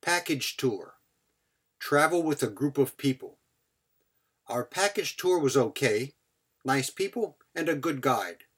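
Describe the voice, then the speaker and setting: A man's voice: medium pitch, animated, measured pace, pauses often, a bit bright, breathy edge. A man giving a scripted English vocabulary lesson as a teacher, speaking in short, clipped phrases followed by example sentences.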